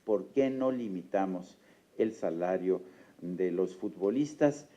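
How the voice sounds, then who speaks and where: Man moderating a presentation, low and very dark voice, rhetorical delivery, lo-fi recording. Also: slow pace, slight background noise. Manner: rhetorical, animated, with fillers. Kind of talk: public presentation.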